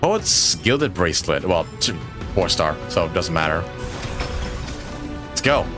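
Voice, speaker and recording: A man with a low voice streams a video game with clear music behind him. His delivery is casual and rambling, thinking aloud, with pitch that moves around in a lively way. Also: a little slow. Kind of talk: casual live gameplay commentary.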